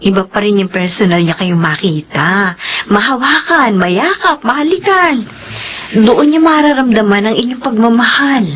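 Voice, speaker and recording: A woman playing a gentle, devoted nanny with a soothing, earnest delivery in a radio drama. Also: a little high, expressive, normal speed, a little hiss or hum. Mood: reproachful. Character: gentle, devoted nanny who mothers her young ward.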